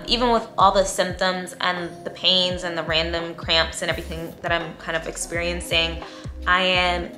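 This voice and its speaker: A woman, chatting in a bubbly, talkative way as she vlogs to camera in a bright room at home. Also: lowish voice, reverberant. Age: 30s. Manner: bubbly, chatty, talks with her hands. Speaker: lifestyle vlogger. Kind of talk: casual talk to camera.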